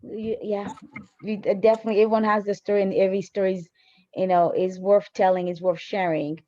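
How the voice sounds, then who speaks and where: A woman's voice: mid-range voice, flat delivery, fairly quick, dark tone, dry recording. A woman hosting a conversational interview, encouraging and affirming, on muffled lo-fi audio. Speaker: interviewer, host.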